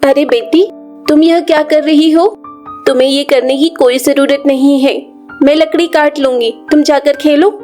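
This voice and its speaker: Woman as caring village mother for animated story, high-pitched, emotional and earnest, anxious for her family, faint music behind. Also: normal speed, lightly acted. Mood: gently chiding. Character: worried, caring mother and devoted village wife.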